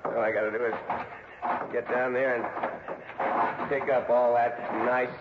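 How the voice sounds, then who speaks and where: An actor playing a taunting, murderous American crook in a mid-century radio crime drama, with slangy, menacing delivery. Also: male voice, mid-range voice, little pitch movement, takes it slowly, close to an everyday voice. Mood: gloating. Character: gloating, murderous male gunman, cunning tough crook. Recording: muffled, echoey, noisy old radio recording.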